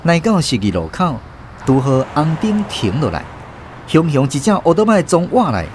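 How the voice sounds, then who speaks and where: A man's voice: on the high side, huge pitch swings, normal speed, a little mellow, slight background noise. A man with a Taiwanese accent narrating a radio drama in a dramatic, scripted storytelling style.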